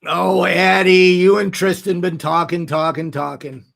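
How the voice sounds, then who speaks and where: A man of nearly sixty with a noticeably high voice for a male speaker. He talks straight to his livestream audience in a casual, unscripted way. His delivery is earnest and candid, with emphatic stress on his points. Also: level tone, measured pace, creaky, fairly bright tone. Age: late 50s, nearly 60. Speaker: nonprofit founder hosting a livestream. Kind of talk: casual livestream talk to viewers.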